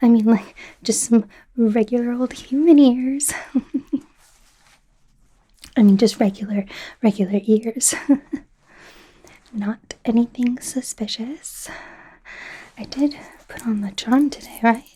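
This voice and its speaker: A young woman voicing an awkward, flustered female werewolf student in a soft, whispery ASMR roleplay, stammering and rambling nervously. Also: a little high, takes it slowly, pauses often. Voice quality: soft, breathy, often whispery. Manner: nervous, stammering, rambling, indignant when embarrassed.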